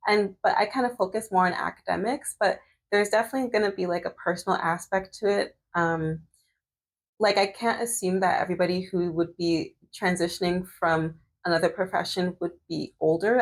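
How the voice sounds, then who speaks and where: A woman's voice: fairly low pitch, brisk, low-quality recording. A woman in her thirties vlogging while doing her hair, chatting casually with lots of fillers and restarts. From Canada. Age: 30s. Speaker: medical student and vlogger.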